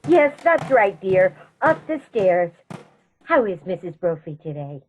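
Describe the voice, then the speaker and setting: A woman plays a sweet old spinster aunt in a radio comedy, fussing and chatting genteelly. Her pitch swoops freely, and the sound is a little dull. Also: big pitch swings, unhurried, slight background noise. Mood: fussing, kindly. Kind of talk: radio comedy theatre acting.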